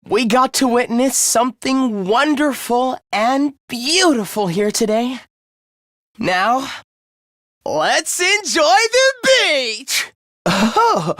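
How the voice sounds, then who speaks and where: A man's voice: very high-pitched, fairly bright tone, no room sound, studio quality, exaggerated voice. A man voicing a young male student in an anime game, sweetly idealistic yet secretly sinister, with a light, polite, theatrical delivery. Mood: gentle, upbeat.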